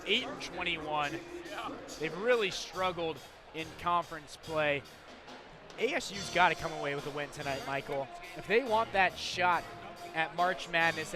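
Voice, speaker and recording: An American man giving conversational, opinionated color commentary on a live basketball broadcast. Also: fairly high pitch. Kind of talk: live sports analysis.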